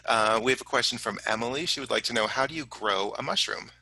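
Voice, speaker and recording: Bright, crisp male host with creaky edges. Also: medium pitch, fast talker. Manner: encouraging, appreciative. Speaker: host of an online children's science story event. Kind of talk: casual audience Q&A moderating.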